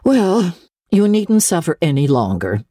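A woman's voice: lowish voice, sing-song, unhurried, mellow, breathy edge, dry recording, clean studio sound, voice pushed up, exaggerated voice. A woman voicing a stern, no-nonsense older woman guardian in a storybook reading, curt, emphatic and sarcastic. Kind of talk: dramatic audiobook reading of classic literature.

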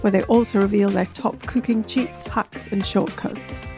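A woman's voice: medium pitch, fairly even intonation, normal speed, over loud music. A woman podcast host who reads a scripted intro and then interviews a guest, with a friendly, affirming manner.

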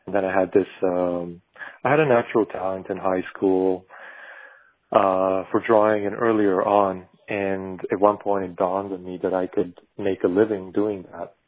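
Deep husky guy from bulgaria, slow thoughtful talk, lots of restarts, sounds like a thin phone line. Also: fairly even intonation. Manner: thoughtful, searching for words, frequent restarts. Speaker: podcast guest, animation artist. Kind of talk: reflective conversational interview. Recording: tinny phone-like remote call.

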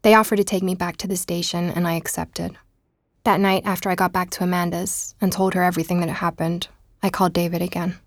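A woman's voice: lowish voice, flat delivery, fast talker, no room sound, studio quality. An actress playing an anxious young woman investigator in an audio drama, speaking in a hesitant, naturalistic way. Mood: shaken, subdued narration. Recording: faint background noise.

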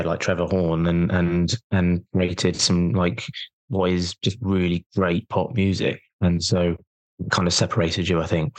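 A very deep-voiced man in a dry, close-sounding space. He hosts an interview and phrases his questions hesitantly, with lots of fillers and restarts. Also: darkish tone, no echo. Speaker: interviewer, podcast host.

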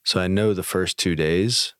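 A man's voice: low-pitched, unhurried, fairly bright tone, smooth, no echo, studio quality. A man co-hosting a podcast in casual conversation, informal and a little wry. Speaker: podcast co-host.

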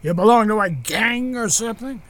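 A man's voice: high voice, lively intonation, moderate pace, fairly bright tone. An actor playing an annoyed, suspicious old veteran in his eighties or older, grumbling and accusing in an audio drama. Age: 80s or older.

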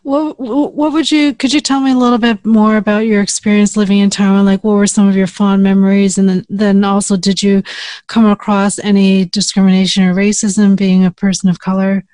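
A woman hosting a podcast, softly asking her guest open questions. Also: medium pitch, level tone, a little fast, no room sound. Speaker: podcast host. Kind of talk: interviewing.

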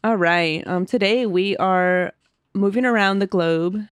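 A woman's voice: mid-range voice, a little slow, smooth, dry recording. Giggly woman podcast co-host, dry mic. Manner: giggly, chatty, reads aloud with asides. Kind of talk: casual chat and reading listener stories.

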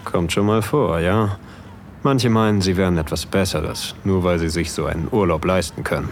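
A man playing a tall, confident, charming yacht deckhand in an intimate audio drama, quietly flirtatious and unhurried. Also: low-pitched, a little hiss or hum. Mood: friendly, teasing.

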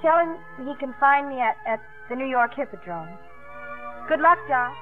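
A woman's voice: sits high, animated, moderate pace, over music. An actress playing a proud, earnest young woman, a swimming star, in a 1950s radio drama. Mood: hurt, restrained. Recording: tinny, band-limited 1950s radio broadcast.

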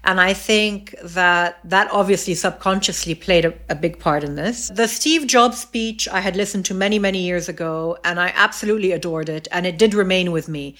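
A woman's voice: on the low side, moderate pace, few pauses, a bit bright. A middle-aged woman from Egypt, raised abroad, speaking as a podcast guest. She talks reflectively, with many fillers and restarts. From Egypt, raised in the US, Saudi Arabia and England. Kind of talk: reflective interview conversation.

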